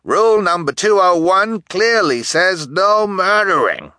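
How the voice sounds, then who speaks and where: A man's voice: sits high, lively intonation, moderate pace, sharp tone, no echo, clean studio sound, pitched above the performer's own voice. A man voicing indignant, vindictive townsfolk in an audiobook, strident and self-righteous as he exclaims. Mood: outraged. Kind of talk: audiobook character voices.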